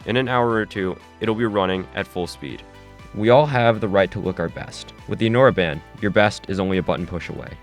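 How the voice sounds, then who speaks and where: Low-voiced man acting a slick tech pitchman. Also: a little fast, faint music behind. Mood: polished sales pitch. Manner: salesmanlike, rhetorical, instructive. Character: slick male tech company head engineer pitching a gadget. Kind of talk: scripted infomercial presentation.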